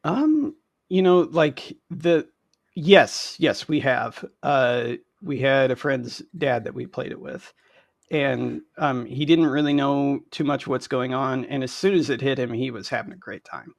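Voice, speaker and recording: A man's voice: medium pitch, a little slow, vocal fry, dry recording, studio quality. A man chatting casually and telling anecdotes as a guest game creator on a video call. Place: indoor room on a video call.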